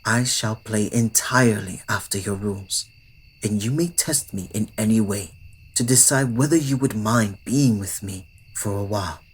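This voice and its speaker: A man playing a courtly, smitten old-world vampire in an intimate ASMR roleplay, with formal phrasing that often slips into breathy whispers. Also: on the low side, normal speed, low-quality recording. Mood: courteous, hopeful. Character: courtly, old-fashioned adult male vampire, yearning, polite, smitten. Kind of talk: ASMR roleplay audio drama addressed to the listener.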